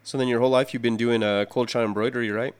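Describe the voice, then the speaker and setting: A man's voice: medium pitch, fairly even intonation, fast, clean tone. A man from southern Colorado hosting a radio interview, asking friendly, encouraging questions. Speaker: radio host.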